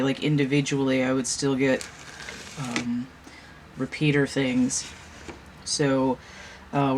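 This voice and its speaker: Slow, sniffly woman tarot reader. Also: deep voice. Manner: halting, many fillers, slow and meandering. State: stuffy nose, sniffly. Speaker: tarot reader and channel host. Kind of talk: casual solo tarot reading vlog. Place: home room with a fan running. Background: fan noise.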